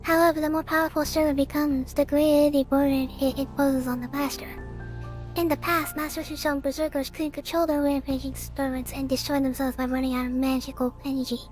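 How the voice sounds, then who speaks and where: A teenage girl doing a casual let's play, reading a visual novel aloud and commenting, with halting delivery and repeated words. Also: sits high, little pitch movement, a little mellow, soft background music.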